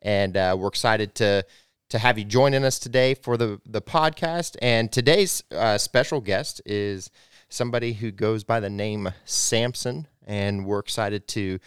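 A man's voice: lowish voice, unhurried, smooth, dry recording, clean studio sound. A young man in his twenties hosting a church podcast, casual and rambling with many restarts. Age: 20s. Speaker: podcast host.

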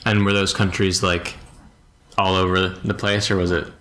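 A young man hosting a show, reading local news and then interviewing a guest in a steady, flat way. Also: low voice, normal speed.

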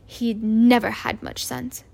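Woman doing a strict snappy witch teacher, high and loud, impatient. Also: flat delivery, slow pace, creaky, full character voice. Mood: irritable. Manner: clipped, sharp, impatient.

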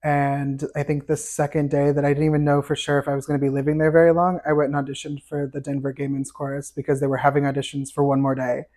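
A man with a fairly high, light voice, chatting as a guest on a podcast and telling stories with many fillers. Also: completely flat delivery, fast talker, few pauses, darkish tone. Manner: chatty, storytelling with fillers and laughs. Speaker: podcast guest, chorus tenor. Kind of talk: casual interview chat.

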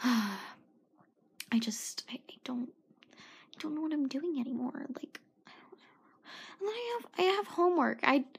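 A woman's voice: high-pitched, big pitch swings, unhurried, creaky, long pauses. A teenage girl hosting her own podcast, rambling and self-mocking, with a sore throat and a voice that drops into whispered asides. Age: teens. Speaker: podcast host. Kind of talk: rambling solo podcast chat.

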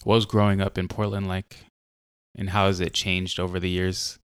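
A man hosting a casual interview, asking questions in a relaxed way and giving short affirming replies. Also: deep voice, normal speed, smooth, dry recording, clean studio sound. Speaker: host.

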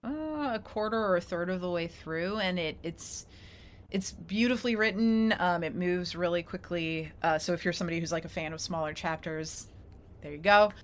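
A woman's voice: lowish voice, moderate pace. A woman co-hosting a podcast in casual conversation, chatty and giggly in an informal way. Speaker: podcast co-host. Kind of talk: casual chat.

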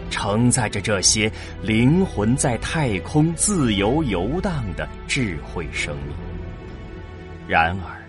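A man narrating a science fiction audio drama as a scripted, dramatic story. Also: lowish voice. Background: prominent background music.

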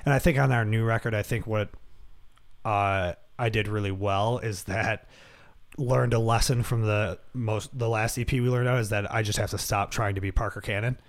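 A young man talks in a loose, relaxed way, full of "dude" and "you know what I mean". His speaking voice sits low and deep. He sounds like a musician hosting a casual chat. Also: moderate pace. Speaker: podcast host and band singer.

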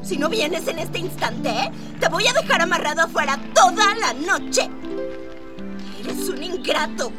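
A woman's voice: very high voice, big pitch swings, measured pace, heavily acted. A woman playing a spoiled, haughty young princess in a comic radio play, whiny, imperious and dramatic. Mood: scolding. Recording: distant miking with music underneath.

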